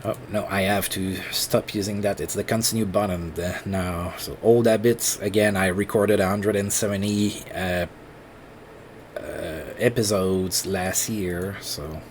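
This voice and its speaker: A man with a French accent, thinking aloud in halting, casual commentary while playing a management game on his computer. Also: sits low, steady tone, a little hiss or hum. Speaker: let's play host.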